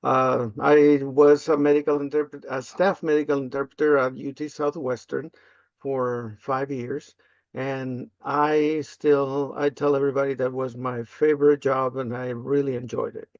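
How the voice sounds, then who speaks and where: An older man from Peru, a native Spanish speaker, answering interview questions casually and haltingly with frequent ums and uhs. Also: medium pitch, level tone, dry recording. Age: 50s or older. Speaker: interview guest, language access specialist. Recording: slightly dull, lo-fi close audio.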